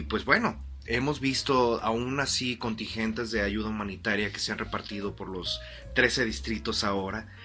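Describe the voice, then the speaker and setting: A man playing a formal history-programme host in a radio drama, interviewing with hesitant pauses. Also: lowish voice, bright, forceful tone. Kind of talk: scripted radio interview in a fiction podcast.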